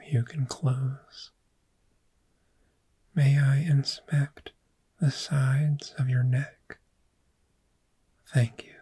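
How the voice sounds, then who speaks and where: A woman playing a calm, reassuring dragon therapist in a soft-spoken, breathy ASMR roleplay, gentle and measured. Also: a bass voice, heavily acted. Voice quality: breathy, whispery.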